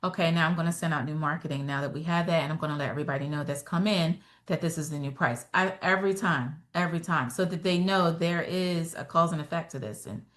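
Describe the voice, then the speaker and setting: A woman real estate agent and trainer answering podcast interview questions in a steady, self-assured way, explaining step by step with fillers. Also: low-pitched, fast talker, few pauses. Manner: firm and self-assured about her own voice.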